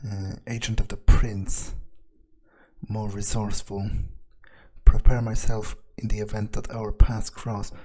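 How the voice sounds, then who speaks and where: Slow, low-voiced man musing aloud. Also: little pitch movement, a little mellow. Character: vampire agent, profane and wary, thinking aloud. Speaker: let's player speaking in character. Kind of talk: in-character game playthrough, musing aloud.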